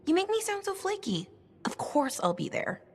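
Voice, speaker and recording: An actress playing a scrappy young woman adventurer in a video game, casual and defensive, with a husky voice. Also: very high-pitched, sing-song, normal speed, heavily acted. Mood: defensive, playful. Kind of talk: video game cutscene dialogue.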